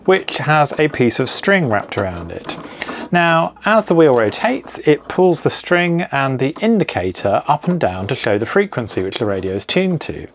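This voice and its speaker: A man calmly explaining an electronics build step by step, in a clear, methodical narration. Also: mid-range voice, normal speed, slight background noise. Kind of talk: scripted technical narration.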